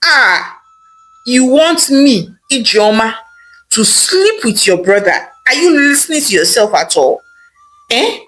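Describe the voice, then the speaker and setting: A woman's voice: on the high side, lively intonation, slow, a bit bright, soft background music, low-quality recording. A woman voicing a distraught young wife who speaks tearfully and repeats herself. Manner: tearful, pleading, repeats words.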